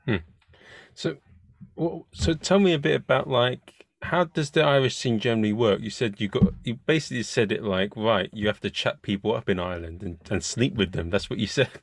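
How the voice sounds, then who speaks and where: A man hosting a casual interview, talking haltingly and restarting his sentences. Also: medium pitch, no echo. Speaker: podcast host.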